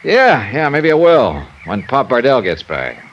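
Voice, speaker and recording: An actor playing a breezy, wry, cool-headed insurance investigator in 1950s radio detective style. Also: male voice, medium pitch, very animated, unhurried, slight background noise. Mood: probing. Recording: muffled vintage radio recording, loud music.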